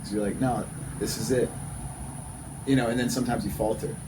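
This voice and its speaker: A man in his thirties with a fairly low voice chats as a podcast host. He is earnest and emphatic, circling back over his phrases. There is faint background noise in the recording. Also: steady tone, brisk. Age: 30s. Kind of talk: casual conversational podcast talk.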